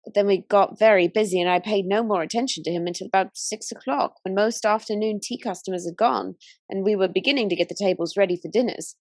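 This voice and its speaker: A woman's voice: medium pitch, fast, dry recording, studio quality, close to an everyday voice. A woman playing an earnest, chatty young Edwardian waitress in a radio play, telling things in careful detail. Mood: earnest recounting.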